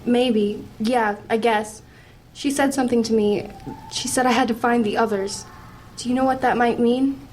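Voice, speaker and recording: A woman's voice: on the high side, steady tone, normal speed, a little mellow, a little hiss or hum, close to an everyday voice, low-quality recording. A woman playing an earnest, persistent and anxious teenage girl in an audio drama, probing and a little hesitant. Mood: probing, uneasy. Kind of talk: audio drama acting and first-person narration.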